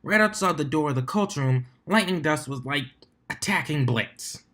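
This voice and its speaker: A brash pegasus mare of a stunt flyer, played by a man reading fan fiction. The voice sits a little higher and gossips in excitable, slangy bursts, like a storyteller who cannot wait to share. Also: normal speed. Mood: gossipy.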